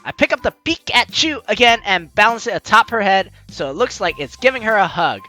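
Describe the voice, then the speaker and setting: Bright, animated, excitable gamer. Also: medium pitch, fairly quick, faint music behind. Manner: excitable, playful, stammering self-interruptions. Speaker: gaming video host. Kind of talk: let's play commentary, reading game text aloud.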